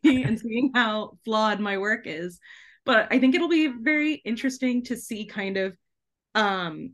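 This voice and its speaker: A woman with a mid-pitched voice talks as a guest author in a casual podcast interview. She is effusive and chatty, with a giggly, informal way of speaking full of little filler words. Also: a bit bright.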